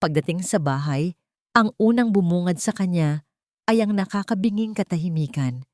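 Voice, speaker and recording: A woman storyteller reading a scripted romantic drama with deliberate, dramatic phrasing. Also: on the low side, animated, a little mellow, dry recording, clean studio sound.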